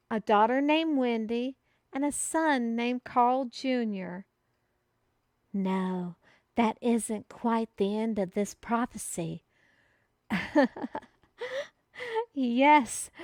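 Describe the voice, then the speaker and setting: An excitable female messenger from a fantasy kingdom, acted by a woman in an audio roleplay. The voice is smooth and fairly high, and the delivery is unhurried and theatrical, with grand archaic phrasing addressed straight to the listener. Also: dry recording, clean studio sound.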